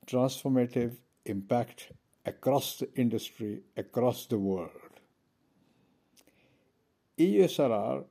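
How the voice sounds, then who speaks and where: A man from India giving a pointed, measured speech from a conference podium. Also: medium pitch, mellow. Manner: measured, pointed, with pauses between phrases. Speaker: conference speaker.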